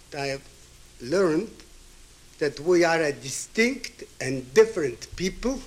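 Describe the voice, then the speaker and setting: A man in his seventies from Ukraine who grew up with Hebrew and Yiddish delivers a reflective address. His voice sits fairly high for a man and moves very slowly. He is emphatic, repeating key phrases and posing questions to his listeners. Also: low-quality recording. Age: 70s. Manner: emphatic, with rhetorical questions and repetition.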